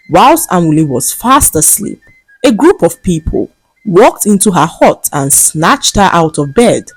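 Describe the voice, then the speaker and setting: Female folktale narrator: slightly low register, very animated and dramatic delivery, dry studio sound. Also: slightly rough, dry recording, low-quality recording. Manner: dramatic, expressive storytelling. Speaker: storyteller, narrator. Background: faint background music.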